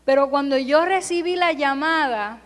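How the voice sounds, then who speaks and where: A woman's voice: high-pitched, normal speed. A woman who grew up in California, preaching a Sunday sermon in a church with emphatic, repeated parallel phrases. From California, United States. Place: church sanctuary. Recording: long hall reverb.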